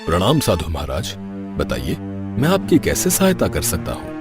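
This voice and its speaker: A calm, wise king from a cartoon folk tale, voiced by a man. He speaks with measured dignity in a lowish, expressive voice. Background music plays throughout. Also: vocal fry, exaggerated voice. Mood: regal. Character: kind, wise male king. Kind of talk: cartoon voice acting.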